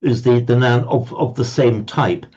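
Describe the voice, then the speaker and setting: A man's voice: medium pitch, steady tone, measured pace, a little mellow, slightly rough, highs cut off. An older man teaching a language class, playfully pedantic and prone to stumbling repetitions.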